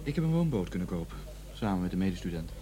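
An actor playing a defiant, aloof young left-wing student in a Netherlands Dutch radio play. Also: male voice, deep voice, sing-song, rapid-fire, mellow. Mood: curt, defiant. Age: young adult.

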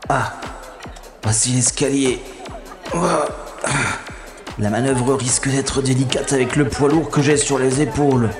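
A wry, cocky male secret agent, played by an actor, talks himself through trouble in a science-fiction audio drama. His delivery is slangy, theatrical and unhurried, at a medium pitch, with music clearly underneath. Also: fairly even intonation, natural voice. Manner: theatrical, slangy, self-narrating. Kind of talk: scripted science-fiction audio drama, first-person narration.

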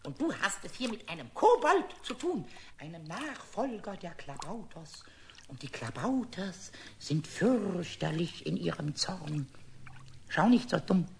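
A man's voice: sits high, sing-song, a little slow, gravelly, heavily acted. A man voicing a cheeky, hot-tempered little male kobold in a children's radio play, boastful and gleefully threatening. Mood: angry, menacing. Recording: 1970s radio play, some noise.

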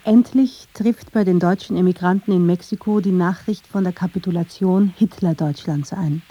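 Very dark-voiced, husky woman narrator. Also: lowish voice, moderate pace. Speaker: narrator of a radio feature. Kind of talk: scripted documentary narration.

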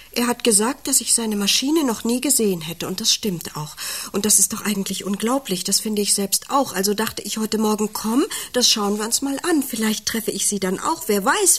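Arglose, leicht heisere Frau, von einer Schauspielerin gespielt. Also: female voice, mid-range voice, moderate pace, husky, natural voice. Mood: naive, matter-of-fact. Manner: guileless, plain-spoken, earnest. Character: simple, earnest, stubborn worker's wife with a goat. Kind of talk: German radio play acting.

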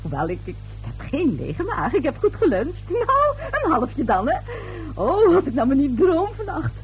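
A woman's voice: sits high, wildly swooping pitch, normal speed, slight background noise, exaggerated voice. An actress playing a tipsy, gossipy neighbour lady in a radio play, chatty, coy and giggly. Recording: old radio drama recording.

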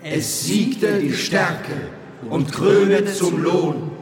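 An exalted temple priest. He declaims extremely slowly in a rather high voice, solemn and ceremonial, in a long reverberant hall. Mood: solemn triumph. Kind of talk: ceremonial radio drama declamation. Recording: long hall reverb, loud music over voice.

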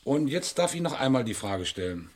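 An actor playing a persistent police inspector in a German radio crime drama, husky, formal, courteous but firm. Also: male voice, mid-range voice, big pitch swings, fairly quick. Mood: insistent, stern. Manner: probing, insistent, formal.

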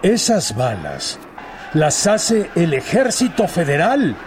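An actor playing a defensive rebel envoy in a radio drama, formal and insistent, with outdoor noise behind. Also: male voice, a little high, huge pitch swings, takes it slowly, heavily acted. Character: defensive rebel envoy explaining himself to foreign officer.

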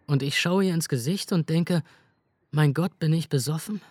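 A man narrating in the first person in a radio drama, rough and weary, with coarse language. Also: fairly high pitch, moderate pace, no echo. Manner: rough, weary inner monologue with coarse words. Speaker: narrator and lead character.

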